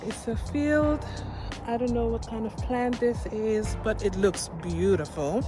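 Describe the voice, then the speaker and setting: Woman from suriname, dutch is her first language, chatty expressive vlog talk outside, music in the back. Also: on the high side, unhurried. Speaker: life coach presenting a personal vlog. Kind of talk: casual personal vlog chat. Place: outdoors by a field.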